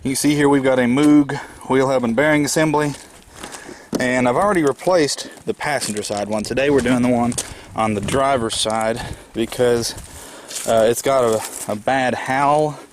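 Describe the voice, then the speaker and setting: A man's voice: medium pitch, normal speed, slight background noise. A man with a slight head cold, explaining a car repair step by step in a plainspoken, patient way with frequent fillers. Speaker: DIY car repair presenter. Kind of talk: casual how-to walkthrough.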